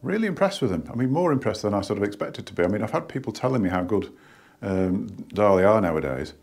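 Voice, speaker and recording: A man in his fifties with a northern English accent, hoarse from a cold, casually reviewing hi-fi speakers at home in a rambling, hesitant way full of ums and restarts. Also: lowish voice, big pitch swings, fast talker, a little mellow. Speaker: hi-fi shop owner reviewing speakers. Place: living room at home, indoors.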